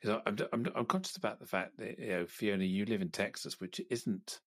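A man's voice: on the low side, fairly even intonation, fairly quick, a bit bright, dry recording, clean studio sound. A man hosting a conversation in a friendly way, often stumbling and restarting his sentences. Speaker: host.

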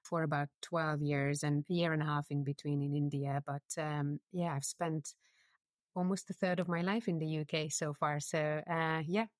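A woman's voice: sits low, steady tone, moderate pace, no room sound, studio quality. A woman with a Hungarian accent, talking earnestly with many fillers and restarts as a guest in a discussion. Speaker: executive producer, guest. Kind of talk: conversational discussion. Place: London, UK.

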